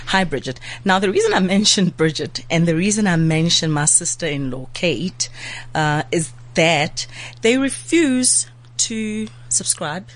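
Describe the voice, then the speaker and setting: Low-voiced, nasal woman chatting. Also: unhurried. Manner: chatty, animated, restarts often. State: nasal from a flu. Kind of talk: casual chat.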